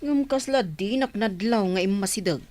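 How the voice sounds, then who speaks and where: A woman's voice: mid-range voice, normal speed, darkish tone, no echo, close to an everyday voice. An actress playing a teasing young village woman who mocks her friend in a comedy radio play. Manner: teasing, mocking.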